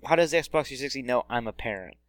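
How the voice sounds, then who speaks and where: A man's voice: mid-range voice, animated, fast talker, dry recording. Teasing podcast host man, dry sound. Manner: teasing, joking. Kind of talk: casual chat.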